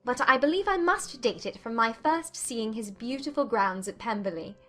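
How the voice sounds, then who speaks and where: A woman's voice: on the high side, normal speed, bright, forceful tone, faint music behind. A woman playing a happy, playful young woman in love in a dramatized period audiobook. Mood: playful, confessing.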